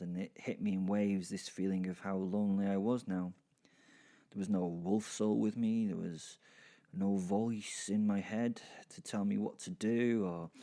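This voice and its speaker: A man's voice: fairly low pitch, warm tone. A man playing an irreverent, foul-mouthed werewolf who narrates an audio drama with hesitant, rambling, wry asides. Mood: wry, lonely. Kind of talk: first-person horror comedy audio drama narration.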